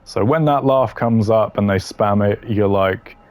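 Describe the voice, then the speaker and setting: A man's voice: lowish voice, measured pace, highs cut off. A man giving casual, opinionated gaming commentary in a blunt, slangy way, ranking voice lines. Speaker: gamer ranking game voice lines.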